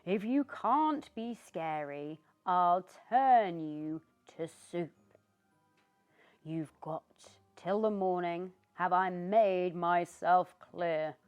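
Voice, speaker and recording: A woman's voice: lowish voice, sing-song, drawn-out words, creaky, muffled recording, faint music behind, exaggerated voice. A woman putting on a stern, bossy witch. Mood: scolding. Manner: stern, loud and scolding. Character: bossy, grumpy female witch.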